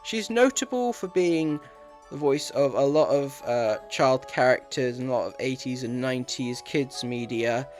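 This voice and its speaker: A man delivers a scripted review of audio drama releases at a middling pitch. Music plays underneath his voice. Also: measured pace, darkish tone.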